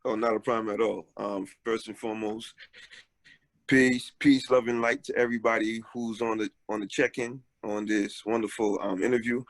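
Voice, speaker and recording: A man's voice: fairly low pitch, flat delivery, normal speed, dry recording. A man in his forties, from Haiti and raised in Brooklyn, talking casually as an author guest over a video call, with many filler ums. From Haiti, raised in Brooklyn, New York. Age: 40s. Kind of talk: casual interview talk.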